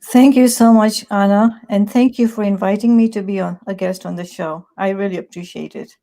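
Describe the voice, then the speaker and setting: A woman's voice: medium pitch, fairly even intonation, moderate pace, creaky, mellow. A woman in her sixties from Tanzania, a publisher, chatting as an interview guest with many "you know"s and restarts. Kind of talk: conversational interview.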